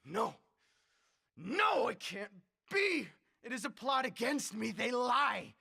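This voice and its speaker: An actor playing an ailing, tyrannical old dictator in a radio drama, imperious and demanding, with a raspy voice. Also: male voice, extremely high pitch, big pitch swings, takes it slowly, heavily acted. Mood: defiant. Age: older. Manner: imperious, demanding, erupting from brooding into shouts.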